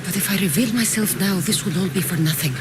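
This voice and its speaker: A woman's voice: medium pitch, fast talker, very noisy, natural voice. A woman voicing a guarded undercover warrior hero in a video game cutscene. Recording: loud music over the voice.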